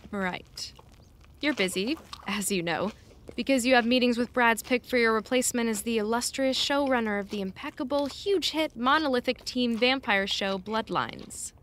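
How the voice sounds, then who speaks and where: A woman performs an unflappable assistant in an audio drama. Her somewhat high voice is brisk and drily teasing, set back a little with light ambient noise behind it. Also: a bit bright, close to an everyday voice. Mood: wry, knowing. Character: unflappable, wry female assistant to a showrunner. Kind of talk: naturalistic audio drama acting.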